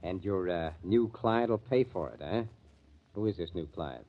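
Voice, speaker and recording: An actor playing a blunt, scornful club owner who talks in sarcastic tough slang in a 1950s radio drama. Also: male voice, low-pitched, expressive, moderate pace. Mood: sarcastic, gruff. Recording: dull lo-fi old radio recording.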